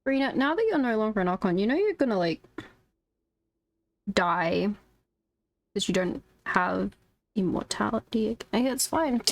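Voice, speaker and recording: A woman's voice: mid-range voice, expressive, moderate pace, vocal fry, pauses often. A woman chatting casually as a game streamer, musing aloud in an informal, offhand commentary.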